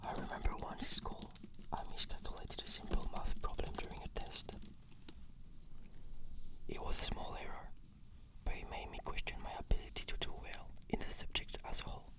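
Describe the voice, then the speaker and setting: A woman whispering fluent, measured, scripted answers as the patient in an ASMR therapy roleplay.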